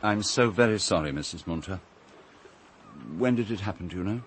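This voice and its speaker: An actor playing a suave, cool owner of an island castle in a radio drama, British, with clipped, dryly cutting delivery. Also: male voice, lowish voice, fairly quick, a little hiss or hum, lightly acted. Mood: grave.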